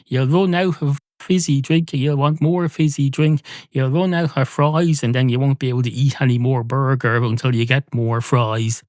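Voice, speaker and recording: A man's voice: medium pitch, darkish tone, dry recording, studio quality. A man hosting a solo podcast, giving a rambling, digressive comic monologue in a repetitive, mock-lecturing way. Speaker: podcast host.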